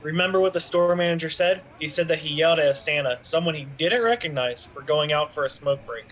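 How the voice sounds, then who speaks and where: A man's voice: fairly high pitch, fast, fairly bright tone, close to an everyday voice. A man playing a methodical police officer who reasons in a businesslike way. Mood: deductive, intent. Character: methodical male police officer working a case.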